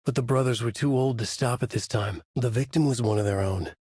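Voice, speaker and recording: An actor playing a haunted male horror writer who narrates in a measured, brooding way in a dry studio booth. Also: mid-range voice, warm tone, breathy edge, no echo, natural voice. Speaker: video game character narrating. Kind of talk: game voice lines, dark narration. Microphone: studio mic.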